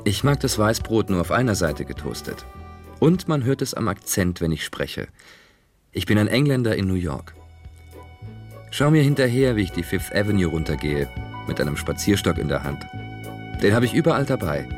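A man doing a voice-over, reading translated song lyrics in a measured, expressive way. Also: on the low side, faint music behind. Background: song playing underneath.

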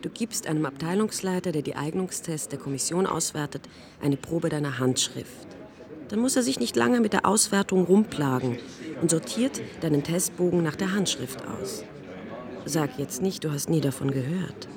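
An actress playing an ambitious, cynical young intern in a radio drama, blunt, impatient and matter-of-fact. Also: female voice, low voice, normal speed, crowd noise.

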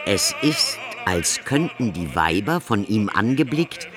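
A man playing a solemn storyteller in a radio play, reciting a gothic literary tale in a deliberate, theatrical manner. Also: on the low side, sing-song, music in the background. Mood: grave fascination. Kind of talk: dramatic literary narration.

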